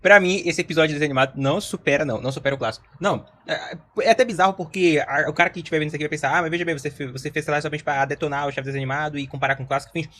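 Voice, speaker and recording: A man's voice: fairly high pitch, fairly even intonation, very fast, hardly any pauses, bright, forceful tone. A Brazilian man hosting a reaction video, giving casual, opinionated commentary and repeating phrases emphatically for stress. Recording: clear background noise.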